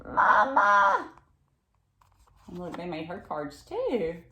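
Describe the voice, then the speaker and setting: A woman reads a picture book aloud for children in a very lively, unhurried way. She speaks expressively and turns to her listeners with asides, in a room with noticeable echo. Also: mid-range voice, highs cut off. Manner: expressive, exclaiming, asks listeners questions. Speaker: read-aloud storyteller.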